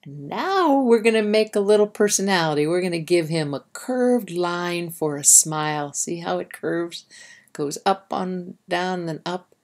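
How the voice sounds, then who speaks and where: A woman's voice: on the low side, animated, measured pace, darkish tone. A woman reading aloud to young children and guiding them in simple, instructive language, speaking directly to the listener. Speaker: storybook reader and drawing guide.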